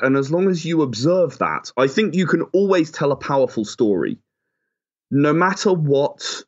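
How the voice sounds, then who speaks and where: A man giving chatty, enthusiastic, emphatic answers as a guest in a conversational interview. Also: fairly high pitch. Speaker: interview guest, game creator. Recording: dull, lo-fi audio.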